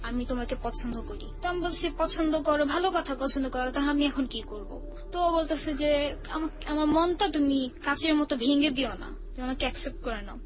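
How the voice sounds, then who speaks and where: Young woman, Bangladeshi accent, high-pitched voice, colloquial confessional storytelling, music playing behind. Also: moderate pace. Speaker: show guest. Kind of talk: candid personal storytelling in casual colloquial talk. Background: background music.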